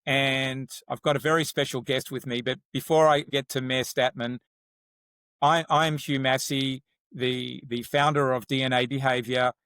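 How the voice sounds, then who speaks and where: A middle-aged Australian man hosts a conversational podcast interview. He talks in a halting way, stacking repeated words as he gathers his thoughts. The sound is very dry and close. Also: medium pitch, steady tone, a bit bright, clean tone, dry recording. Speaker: podcast host.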